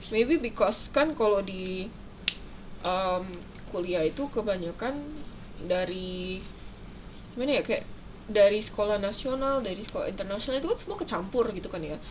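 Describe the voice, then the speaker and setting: A woman's voice: medium pitch, brisk, background noise. A young woman from Indonesia, chatting casually and switching between two languages on a livestream while she paints miniatures. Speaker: streamer.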